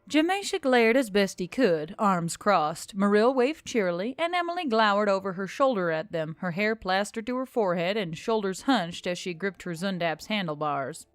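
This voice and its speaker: A woman's voice: medium pitch, clean tone, no echo, studio quality. A woman narrating a scripted story as host of an audio fiction channel.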